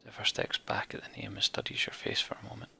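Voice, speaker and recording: A man's voice: quick pace, pushed and bright, in a whisper. A man hosting a game playthrough, reading the story text aloud dramatically and adding casual commentary. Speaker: let's play host.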